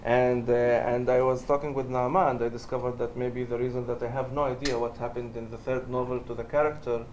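A man in his forties, a native Arabic speaker with a Palestinian Arabic accent, talking on a university panel in a halting way, full of fillers and restarts, with wry humor. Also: mid-range voice, level tone. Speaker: novelist on a panel. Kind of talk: casual panel talk. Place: university lecture hall. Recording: echoey hall with background noise.

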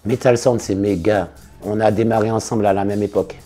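Laid-back low-voiced man, mellow tone. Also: a little fast, soft background music. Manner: relaxed, laid-back, with slangy big-ups. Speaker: interviewed singer and DJ. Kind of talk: casual interview answers. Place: studio in front of blue backdrop.

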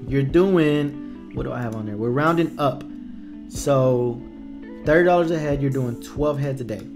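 Young man explaining barber pricing, casual. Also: fairly high pitch. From Texas. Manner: conversational, repeats words while thinking, addresses viewers as "you guys". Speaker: barber teaching business. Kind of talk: casual screen-share tutorial. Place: small room indoors. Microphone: desk microphone at a computer, webcam in corner.

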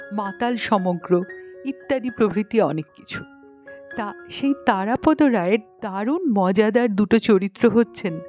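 A woman narrating a humorous audiobook story in an expressive, scripted reading style. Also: mid-range voice.

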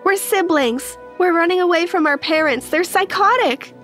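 Doting, scheming girlfriend , female narrator in character, raised pitch, pleading and melodramatic, for story narration. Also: expressive, measured pace, soft background music. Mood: desperate pleading. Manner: emotional, pleading. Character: clingy, dramatic young girlfriend, doting and scheming.

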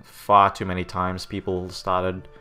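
A man's voice: sits low, monotone, measured pace, smooth. A man with a stuffy hay-fever voice, talking through a game-art tutorial while he works, rambling and correcting himself often. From Australia. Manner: rambling, self-correcting, frequent "okay?" and "um". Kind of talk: screen-recorded tutorial narration. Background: soft background music.

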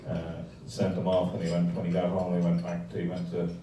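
A man's voice: sits low, monotone, fairly quick. An Irish man in his sixties giving a wry, rambling, humorous history talk to a club. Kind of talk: humorous informal history lecture with slides. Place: indoor hall with projection screen. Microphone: handheld or stand microphone, recorded from audience camera. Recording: echoey room, distant mic, noisy background.

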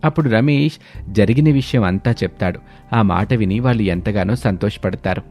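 Male narrator for cartoon tales; mellow, dark tone, steady delivery. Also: fairly low pitch, normal speed. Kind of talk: scripted storytelling narration.

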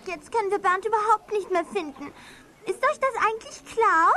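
Extremely high, anxious girl. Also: lively intonation, normal speed, pushed and bright, a little hiss or hum, exaggerated voice. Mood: dismayed. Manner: anxious, exclaiming. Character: worried, questioning young girl. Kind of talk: children's audio play acting.

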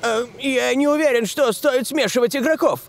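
High-pitched frantic old professor. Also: male voice, normal speed, creaky, a little hiss or hum. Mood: alarmed, pleading. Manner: flustered, pleading, overdramatic. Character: frantic elderly male professor, eccentric inventor, held captive. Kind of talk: cartoon voice acting.

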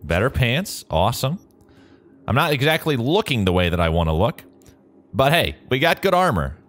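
A man's voice: mid-range voice, sing-song, normal speed, fairly bright tone. An American man giving casual, jokey gameplay commentary, slangy and talking back to the game. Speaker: gaming video host. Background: game music and vehicle sounds.

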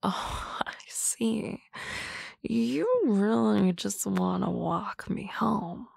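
A drunk young boss, flustered and rambling, drifting between slow talk and soft whispers in a gravelly, unhurried voice. A woman acts her out close to a dry microphone. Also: medium pitch, dry recording, exaggerated voice. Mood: tipsy. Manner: slurry, rambling, often whispering. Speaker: voice actress. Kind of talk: ASMR roleplay.

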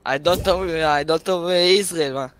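Man from Greece, voice chat, broken English, insistent, repeats himself, slightly high voice. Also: a little slow, a bit bright, clean tone. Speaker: online player talking to a streamer. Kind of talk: casual voice chat.

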